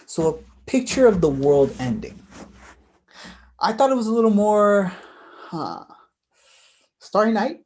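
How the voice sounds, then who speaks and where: Woman acting young man, stage drama, mild, evasive, casual, hesitant, dark relaxed timbre. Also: mid-range voice, a little slow, pauses often. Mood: defensive, hopeful. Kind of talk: staged play reading, naturalistic dialogue.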